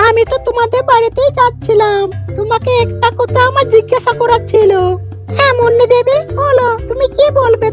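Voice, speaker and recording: A woman voicing a brisk, chatty, scolding village wife in a children's cartoon. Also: extremely high pitch. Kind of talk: Bengali children's cartoon dialogue.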